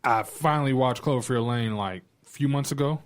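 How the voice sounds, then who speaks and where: A man's voice: medium pitch, fairly quick. A man talking casually on a podcast panel, emphatic and slangy, swearing freely. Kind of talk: casual chat.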